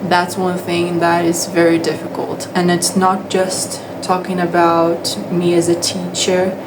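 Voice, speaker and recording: A woman's voice: fairly low pitch, monotone, unhurried, noisy background. A young Brazilian woman, a native Portuguese speaker, talking in English with a Brazilian accent in a hesitant, casual monologue full of restarts. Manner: hesitant, with frequent restarts and fillers. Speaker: English teacher.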